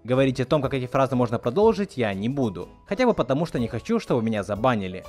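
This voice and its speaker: Lively male video essayist over music. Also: medium pitch, racing. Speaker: video host reviewing film dubbing. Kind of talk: scripted narration, video essay commentary. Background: background music.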